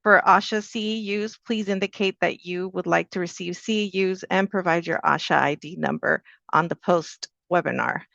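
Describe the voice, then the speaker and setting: Brief: woman moderator, gravelly voice, dull dry lo-fi audio, reads instructions. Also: fairly low pitch, normal speed, few pauses, fairly bright tone, no echo. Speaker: webinar moderator. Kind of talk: housekeeping announcements.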